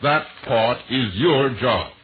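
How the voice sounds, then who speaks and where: A man's voice: mid-range voice, expressive, takes it slowly, slightly rough. A man making an earnest, emphatic scripted appeal in a 1940s radio style. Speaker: radio announcer making a war bond appeal. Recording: tinny, noisy vintage 1940s radio.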